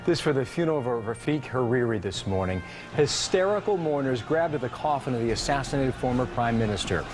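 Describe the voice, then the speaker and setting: Low-voiced woman reporting news over music. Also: lively intonation, a little fast, darkish tone. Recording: music under the voice.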